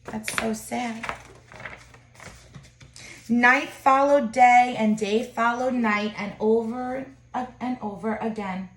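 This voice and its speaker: A woman with a fairly high voice reads a children's storybook aloud. She is expressive and adds small asides for her listeners. The room around her is clearly echoey. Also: slight background noise. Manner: expressive, pausing to comment to listeners. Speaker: storybook reader. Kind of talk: children's picture book read-aloud with asides.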